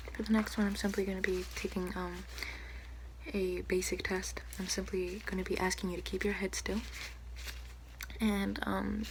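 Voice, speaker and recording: Young female, ASMR doctor roleplay. Hushed and breathy, very even intonation, polite and unhurried, noisy home recording. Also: mid-range voice. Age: young adult. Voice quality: soft, breathy, often whispering. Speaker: ASMR creator playing a doctor. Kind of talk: ASMR roleplay, soft-spoken and whispered. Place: small room at home, indoors. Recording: noticeable background hiss, home recording.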